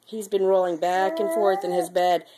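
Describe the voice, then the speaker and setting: A young mother, sick and speaking lower than usual, talking to her baby in a playful, sing-song way while filming in the nursery on a handheld camera. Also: level tone, normal speed, a crowd in the background. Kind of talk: home video baby talk. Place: baby's nursery, indoors.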